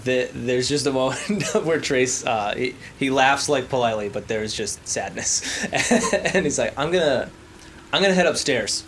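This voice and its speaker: A tabletop role-player improvising casually, narrating and speaking in character in a halting, hesitant way. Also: mid-range voice, a bit bright, slight background noise. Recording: rain ambience over tabletop game recording.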